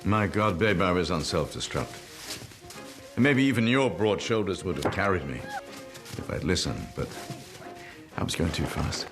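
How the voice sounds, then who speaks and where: An actor playing an elderly, regretful male comedian in a halting, intimate, reflective monologue. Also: low-pitched, lively intonation, over music. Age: older.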